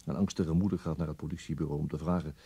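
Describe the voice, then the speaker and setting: An actor playing a weary, brooding police detective in a radio play monologue, deliberate and resigned, with a husky, gravelly voice. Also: male voice, a bass voice, fairly even intonation, warm tone, heavily acted. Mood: somber.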